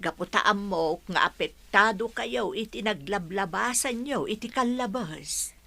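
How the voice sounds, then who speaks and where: A woman's voice: medium pitch, expressive, measured pace, vocal fry, sharp tone, no room sound, full character voice. A woman playing a devoted, motherly household servant, earnest and respectful, in a radio drama. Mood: sincere.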